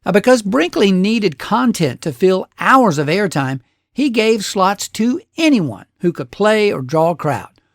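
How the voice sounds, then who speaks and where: A man's voice: high-pitched, sing-song, a little slow, dry recording, clean studio sound. A man hosting a radio show, narrating history from a script with casual asides in a folksy, conversational storytelling way.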